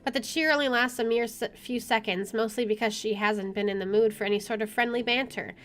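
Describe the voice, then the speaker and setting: A woman's voice: a little high, steady tone, brisk, fairly bright tone. A woman reading visual novel narration aloud as a game streamer, informally, with offhand asides. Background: game music.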